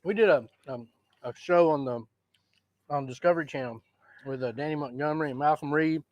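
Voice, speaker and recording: A man's voice: a little high, fairly quick, a little mellow, dry recording. A man, explaining recipes in an easygoing cooking chat. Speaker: cooking show host. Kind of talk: casual cooking demonstration.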